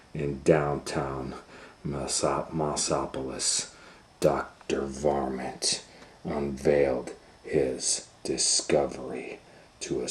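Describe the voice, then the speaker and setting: A man hosting storytime, reading a children's story aloud in a theatrical way with dramatic pauses and swells. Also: a bass voice, slight background noise. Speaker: storytime reader and host. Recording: roomy, echoing sound.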